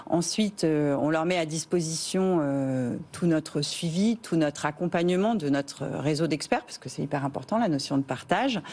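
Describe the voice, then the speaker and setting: A woman from Rhône-Alpes whose first language is English, a company founder answering TV interview questions earnestly, with frequent hesitant pauses. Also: deep voice, darkish tone. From France, Rhône-Alpes. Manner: earnest, explains at length with many "euh" pauses.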